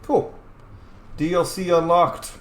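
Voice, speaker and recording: A gamer giving casual, excitable let's-play commentary, informal and prone to swearing. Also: medium pitch, slow pace. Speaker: gamer playing and reading out dialogue choices. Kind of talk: casual let's-play commentary with role-played dialogue read aloud. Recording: roomy echo, faint background noise.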